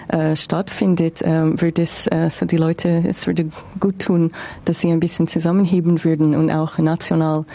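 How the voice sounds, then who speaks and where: A woman who is not a native German speaker gives measured answers in an interview. Her voice is dark, flat in pitch and gravelly, and she fills her pauses often. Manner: measured, with frequent fillers. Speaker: interview guest, film observer.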